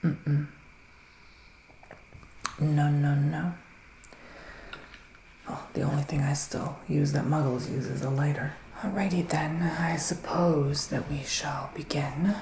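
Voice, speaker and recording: A man playing a gentle, theatrical male witch psychic in an ASMR roleplay, speaking in a breathy, sighing, partly whispered hush. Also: a little high, fairly even intonation, slow, pauses often, roomy sound, a little hiss or hum. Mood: welcoming, hushed. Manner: breathy, sighing, hushed, dramatic. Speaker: ASMR performer.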